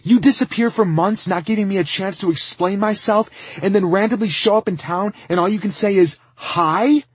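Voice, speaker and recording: Audiobook role: flustered young woman, performed by a man, raised pitch, husky, emotional and wounded. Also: normal speed, no room sound. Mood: angry, flustered. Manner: emotional, expressive. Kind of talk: audiobook character acting.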